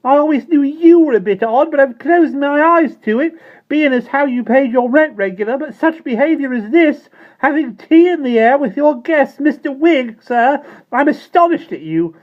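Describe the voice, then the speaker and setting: Storybook role: shrill fussy landlady, very high and sharp, put on by a man reader, dull lo-fi sound. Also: animated, moderate pace. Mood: scandalized. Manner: shrill, indignant and fretful. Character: fussy prim spinster landlady, easily scandalized. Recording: dull lo-fi recording.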